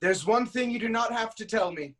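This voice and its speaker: Man playing high-voiced, angry, accusatory king. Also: a little fast, fairly bright tone. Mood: angry, accusing. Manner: accusatory, declamatory. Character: angry, suspicious king of ancient Thebes. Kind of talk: dramatic Greek tragedy reading.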